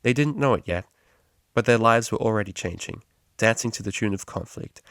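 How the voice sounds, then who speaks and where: A man's voice: lowish voice, brisk, fairly bright tone, no room sound, studio quality. A man reading a scripted story aloud as an amateur narrator. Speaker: amateur fan-fiction reader.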